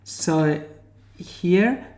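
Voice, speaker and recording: A man with a New Zealand accent giving a tutorial lecture while sharing his screen, pausing often to find his words as he explains. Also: high voice, lively intonation, a little mellow, echoey room. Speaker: academic lecturer.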